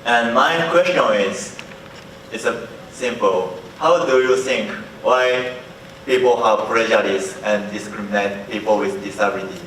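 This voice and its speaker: Low-voiced Japanese man in a very echoey hall. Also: unhurried, a bit bright, slight background noise. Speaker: audience member asking a question. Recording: very echoey hall, distant mic.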